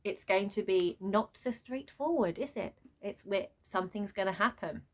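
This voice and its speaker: A woman giving an unscripted book review as a rant, with an animated, sarcastic way of talking. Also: mid-range voice, moderate pace. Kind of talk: unscripted book rant with read-aloud excerpts.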